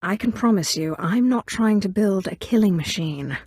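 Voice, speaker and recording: A woman's voice: medium pitch, animated, moderate pace, darkish tone, husky, dry recording. An actress voicing an imperious, coolly menacing female Sith in a video game, with a clipped, commanding delivery and dry sarcasm. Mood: cool, controlled. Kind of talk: scripted game dialogue, standalone voice lines.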